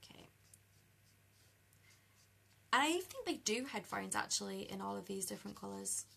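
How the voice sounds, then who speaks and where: A young British woman with a croaky voice chatting easily with live viewers while she colours at a craft desk. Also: mid-range voice, expressive, moderate pace, bright, forceful tone. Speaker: card-making demonstrator. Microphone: overhead camera over a desk.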